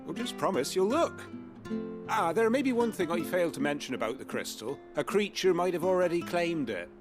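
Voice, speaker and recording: A man's voice: sits high, lively intonation, fairly quick, music in the background. A man playing a nervous, sheepish fishmonger in a video game, chatty and stammering, with a British accent. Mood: wheedling.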